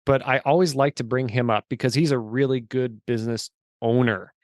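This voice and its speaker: A man's voice: mid-range voice, fairly even intonation, a little fast, dry recording. Earnest man of forty, dry close sound. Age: 40s. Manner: earnest, storytelling, emphatic. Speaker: guest. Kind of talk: casual interview chat.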